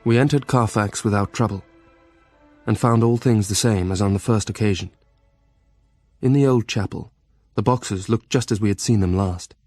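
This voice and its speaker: Man with a lowish voice reading a gothic journal, dry close sound. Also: normal speed, dark tone, no room sound, soft background music. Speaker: narrator. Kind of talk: dramatic scripted story narration.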